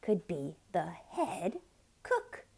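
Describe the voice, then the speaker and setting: A woman's voice: lowish voice, huge pitch swings, drawn-out words, creaky, a little mellow, breathy edge, exaggerated voice. Woman voicing a small, eager, emotional storybook duck. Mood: wishful. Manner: murmuring wishfully or wailing. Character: small, eager, easily upset young male duck.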